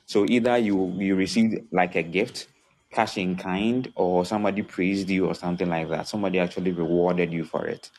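A man's voice: deep voice, fairly even intonation, a little fast, darkish tone. A man calling in to a live talk show, explaining his points one by one and often checking that listeners follow. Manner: methodical, numbers his points and checks understanding. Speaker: caller giving input. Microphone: remote call-in line.

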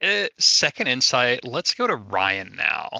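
A man's voice: medium pitch, sing-song, unhurried, creaky, sharp tone, no echo. A man playing a tabletop roleplaying game, talking casually and thinking aloud as he rephrases his ideas.

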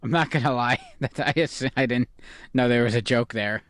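A man's voice: mid-range voice, a little fast, a bit bright. A man with a mid-range voice co-hosts a casual chat, chiming in with short, wry remarks. His voice is recorded dry and close, with no room echo. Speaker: podcast co-host.

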